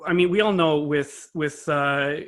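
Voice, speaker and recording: Male interview guest, somewhat high pitch, analytical, explanatory, careful wording, informal technical talk. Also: measured pace, a bit bright. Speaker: interview guest, composer and conductor.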